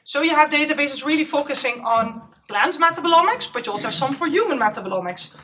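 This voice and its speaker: A woman postdoc gives a loud, chatty conference presentation in a lecture room. Her voice is high and bright, picked up by a clip-on mic. The recording sounds a little distant. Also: lively intonation, fast talker, slight background noise. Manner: loud, chatty, self-aware. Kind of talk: conference talk. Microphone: clip-on microphone.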